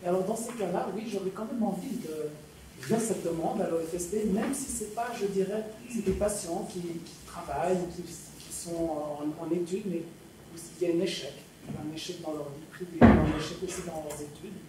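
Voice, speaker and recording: A woman's voice: fairly low pitch, normal speed, warm tone. A woman, a clinician in the audience, asking a spontaneous question in a large lecture auditorium. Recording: very echoey hall, distant, noisy.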